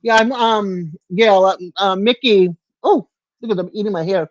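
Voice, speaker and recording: An older woman hosting a casual livestream chat from home, rambling and halting with lots of fillers and restarts. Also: medium pitch, no room sound. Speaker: livestream host. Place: home room with a fan. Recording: dull lo-fi stream audio.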